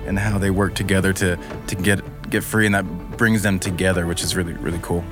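A man's voice: low-pitched, steady tone, fast, music in the background. A man chatting informally as an interview guest, often searching for his words. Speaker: guest, audio drama sound producer. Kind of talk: casual interview chat.

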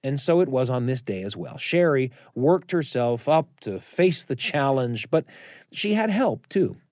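A man narrating a true story as host, in a scripted storytelling style with dramatic pauses. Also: medium pitch, sing-song, a little mellow, dry recording, studio quality.

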